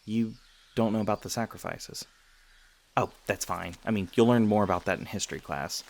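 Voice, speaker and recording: A man acts out a friendly young vampire showing a listener around a university in an ASMR roleplay. His voice sits very low with a strong gravelly creak. He talks casually and conversationally, with gentle, teasing jokes. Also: moderate pace. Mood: easygoing, informative. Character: friendly, teasing male vampire university student and tour guide.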